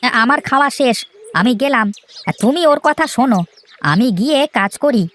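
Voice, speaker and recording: A woman's voice: high-pitched, moderate pace, a bit bright. A woman voicing an impatient, cheeky farmer brother in a children's cartoon, whining and complaining. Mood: mocking, impatient. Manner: whiny, complaining, interjects often. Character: impatient, grumbling, cheeky middle brother of farming family.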